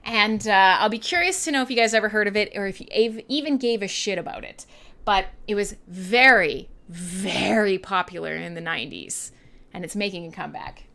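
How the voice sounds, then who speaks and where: Bright-voiced, irreverent Canadian woman radio host. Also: mid-range voice, lively intonation, normal speed, vocal fry. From western Canada. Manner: irreverent, profane, playful. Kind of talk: casual live chat between music tracks.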